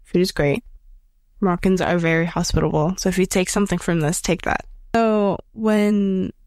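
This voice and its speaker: A woman's voice: lowish voice, steady tone, moderate pace, a little mellow, no echo. Upbeat young woman from New York. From Queens, New York. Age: young adult. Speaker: student interviewee. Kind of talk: casual interview answer.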